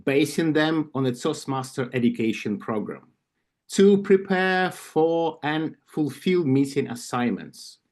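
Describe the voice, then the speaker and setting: A man with a Ukrainian accent reading an induction script on a video call, slowly and carefully, with asides and small self-corrections. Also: a little high, animated, a little mellow. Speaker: club vice president of membership. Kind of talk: reading an induction ceremony script at an online club meeting.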